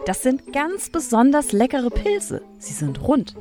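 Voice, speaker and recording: A woman's voice: sits high, huge pitch swings, a little slow, mellow, soft background music. A woman co-hosting a children's science podcast, playful and explanatory in a scripted audio play. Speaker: podcast co-host.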